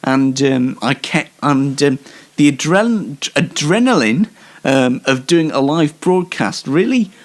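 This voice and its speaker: A man's voice: on the high side, expressive, slow. A man hosting an amateur radio show in a casual, rambling way, hesitant and wryly self-deprecating.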